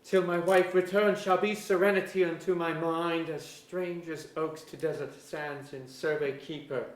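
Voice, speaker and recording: A man plays a devoted but troubled king with a high voice for a man. He speaks formal, old-fashioned verse in a stage reading, and the auditorium rings around him. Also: fairly even intonation, moderate pace, natural voice. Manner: formal archaic verse delivery. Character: anxious, devoted king, brooding and fearful. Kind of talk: staged reading of mock-Shakespearean verse drama. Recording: echoey auditorium.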